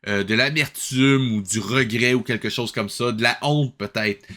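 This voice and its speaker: A man's voice: mid-range voice, moderate pace, sharp tone. A man with a Québécois accent hosting a reaction show, giving casual, incredulous and colloquial running commentary.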